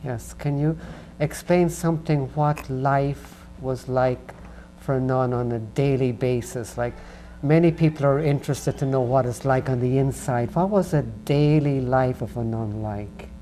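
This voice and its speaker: Slow, mellow-voiced Irish-born host. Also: male voice, mid-range voice, slight background noise. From Ireland. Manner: slow, earnest and unhurried. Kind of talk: religious interview.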